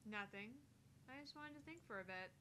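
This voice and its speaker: A woman's voice: medium pitch, lively intonation, a little fast, sharp tone, slight background noise. A woman playing a prickly, teasing young woman from a visual novel, defensive and needling.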